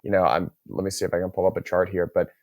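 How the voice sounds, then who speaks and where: A man hosting a podcast, talking through market ideas in a measured, hedging way. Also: low voice, fairly even intonation, gravelly, a little mellow, no echo. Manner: measured, hedging, thinks aloud. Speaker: podcast host. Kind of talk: casual market chat.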